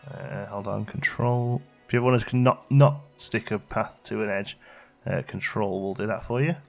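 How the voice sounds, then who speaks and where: A man giving casual, low-key gameplay commentary as a video host, thinking aloud and muttering to himself as he works. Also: fairly even intonation, normal speed, gravelly, faint music behind. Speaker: let's play video host.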